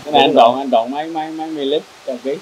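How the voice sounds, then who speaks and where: Quick male interviewer in a noisy shed. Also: mid-range voice, fairly even intonation, darkish tone. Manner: short questions and quick acknowledgements. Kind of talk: casual on-site interview. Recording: noisy outdoor farm recording.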